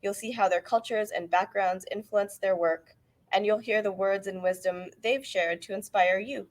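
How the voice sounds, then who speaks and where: A woman's voice: medium pitch, fairly even intonation. A middle-aged Canadian woman, an author reading the introduction to her book aloud at a book event. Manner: measured, earnest reading. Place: bookfest event hall.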